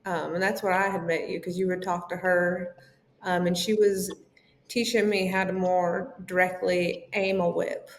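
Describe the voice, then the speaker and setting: A woman's voice: lowish voice, little pitch movement, normal speed. A woman from Tennessee with a Southern accent, a podcast guest chatting openly, laughing often and filling with ums. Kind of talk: casual interview chat. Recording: echoey room, faint ambience.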